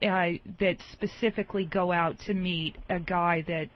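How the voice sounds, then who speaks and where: A woman's voice: fairly low pitch, steady tone, normal speed, a little hiss or hum. A woman chatting casually and asking questions on a radio talk show, with dull sound.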